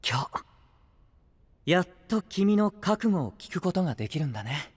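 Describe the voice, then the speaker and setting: A man's voice: high-pitched, sing-song, moderate pace, slightly rough, big-room sound, exaggerated voice. An actor playing a kind, encouraging youthful male friend with a reassuring manner in an anime-style voice drama. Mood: warm encouragement.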